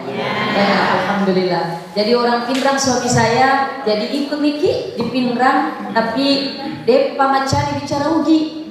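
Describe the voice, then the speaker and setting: A high-pitched Sundanese-accented woman from West Java, preaching with playful questions to the crowd. She is heard from a distance in a big echoing hall with a long reverb tail. A crowd is clearly audible behind her. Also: unhurried. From West Java, Indonesia. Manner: playful, quizzes the audience. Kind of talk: lively sermon with Arabic chanting.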